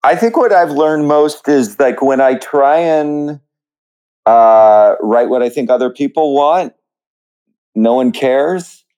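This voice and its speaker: A man answering as an interview guest, casual and reflective, thinking aloud in halting phrases. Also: medium pitch, a little mellow, clean tone, lo-fi sound. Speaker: podcast guest, writer.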